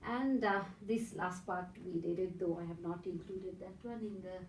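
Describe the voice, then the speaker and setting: A woman's voice: on the low side, moderate pace, darkish tone, slight background noise. A woman from Sri Lanka with a Sri Lankan accent and Sinhala as her first language. She gives an academic conference presentation and often adds a checking "right?" as she explains her points step by step. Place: conference room in a hotel. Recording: echoey room from far off.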